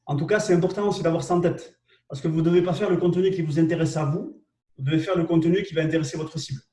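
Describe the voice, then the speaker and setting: A man's voice: a little high, quick pace, warm tone, reverberant, distant mic. A man with a southwest French accent presents tools on a live webinar, talking hesitantly with lots of fillers and repeats, and often checks in with his listeners. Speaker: co-host presenting marketing tools.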